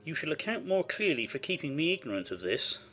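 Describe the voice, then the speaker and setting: A woman voicing a stern, anguished gentleman master of the house in a formal, reproachful manner, for a dramatized classic novel. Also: sits low, sing-song, fast talker, pitched below the performer's own voice. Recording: music behind the voice.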